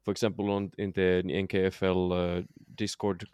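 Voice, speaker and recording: A man's voice: deep voice, little pitch movement, moderate pace, a little mellow, smooth, no room sound. A man co-hosting a podcast in casual chat, hesitant and often restarting his sentences. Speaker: podcast co-host.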